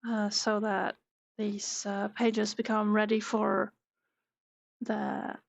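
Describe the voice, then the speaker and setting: A woman's voice: medium pitch, takes it slowly, creaky, no echo, highs cut off. A woman from Norway, a native Norwegian speaker, chatting casually with viewers during a live drawing stream, trailing off with fillers as she works. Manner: relaxed, reads chat aloud, trails off with fillers while concentrating. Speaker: art streamer.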